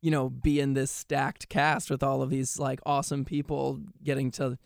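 A man's voice: medium pitch, flat delivery, moderate pace, gravelly, no echo, clean studio sound. A young man, a stage actor being interviewed, telling stories casually, often saying "like" and acting out remarks he quotes. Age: young adult. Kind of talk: animated casual storytelling.

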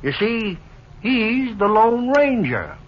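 A talkative, hearty sheriff of a 1950s radio western. His voice is high and very animated, caught on a dull old recording. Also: takes it slowly, a crowd in the background, exaggerated voice. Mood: admiring.

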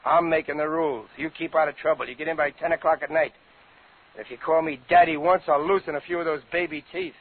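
A man's voice: fairly high pitch, steady tone, quick pace, slightly rough. An actor playing a cocky, slangy ex-convict crook in his fifties in a 1950s radio drama. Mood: gruff, bossy. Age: 50s. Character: tough, wisecracking 51-year-old ex-convict crook. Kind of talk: 1950s radio drama acting and first-person narration. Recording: tinny, noisy old radio broadcast.

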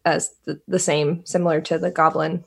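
A woman's voice: low-pitched, flat delivery, normal speed, creaky, slightly rough. A woman in casual podcast chat, talking through a drama episode with many fillers and asides. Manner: rambling, lots of "like".

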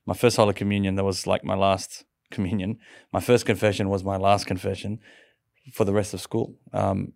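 A man's voice: low-pitched, flat delivery, moderate pace, gravelly, darkish tone, no room sound. A middle-aged man from Sydney with an Australian accent, telling his own story conversationally as an interview guest.

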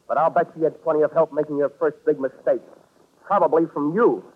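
A man's voice: a little high, fairly even intonation, brisk, natural voice. An American man playing a tough, sardonic private investigator in a 1940s radio drama, speaking in clipped, wry wisecracks. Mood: angry, accusing. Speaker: lead actor and narrator. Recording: tinny, noisy old radio recording.

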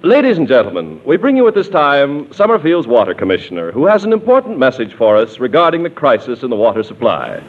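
An actor playing a brisk small-town radio announcer in 1940s style, formal and politely hurrying things along. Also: male voice, mid-range voice, wildly swooping pitch. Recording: tinny, noisy 1940s radio recording.

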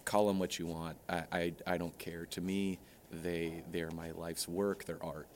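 Low, flat, halting man. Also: slight background noise. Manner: hesitant, halting, full of uh and restarts. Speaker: interview guest. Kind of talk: comedic mock interview.

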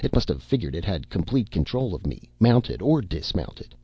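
A man voicing a terrified, frantic grown man whose voice strains and goes shrill as he pleads. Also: sing-song, normal speed, slightly rough, no echo, highs cut off, full character voice. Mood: strained. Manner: pleading, breaks into high frantic cries.

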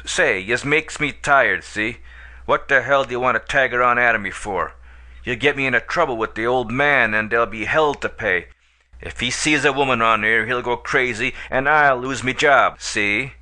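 A man's voice: mid-range voice, moderate pace, pushed and bright, pitched above the performer's own voice. A man playing a snarling slum bartender. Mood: angry, defensive. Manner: coarse slum dialect, snapping and swearing. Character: rough young male bartender, irritable, defensive.

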